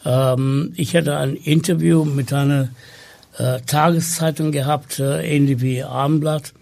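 Slow, gravelly man. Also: a little high, fairly even intonation, a little mellow. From Sri Lanka. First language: Tamil. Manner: slow and hesitant with many fillers. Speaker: podcast guest. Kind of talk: conversational interview.

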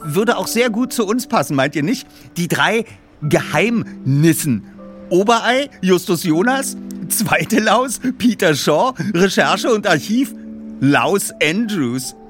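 A man voicing an enthusiastic, joking teenage boy detective in audiobook dialogue, talking in a lively, colloquial way. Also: high voice, slow pace, soft background music, pitched above the performer's own voice, full character voice. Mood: cheeky, joking.

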